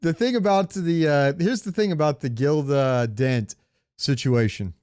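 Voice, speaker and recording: A man's voice: on the high side, a little slow, a little mellow, clear, resonant tone, dry recording. A man co-hosting a podcast, chatting casually with informal, opinionated, sweary talk. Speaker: podcast co-host. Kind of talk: casual chat about comic book movies.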